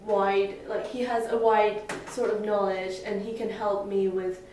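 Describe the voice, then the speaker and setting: A woman's voice: mid-range voice, flat delivery, a little slow, darkish tone. A seventeen-year-old girl from Hong Kong, a summer school student, answering interview questions with many hesitant fillers. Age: teens. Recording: echoey room, distant mic, faint noise.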